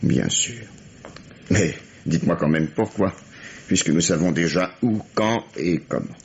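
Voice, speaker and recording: Gruff, wide-swinging police lieutenant. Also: male voice, low voice, animated, unhurried, slight background noise, full character voice. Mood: dry, accusing. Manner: curt, sardonic, hesitant when flustered. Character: gruff, exasperated American police lieutenant, a harried father. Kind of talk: 1950s French radio drama acting. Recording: dull vintage radio recording.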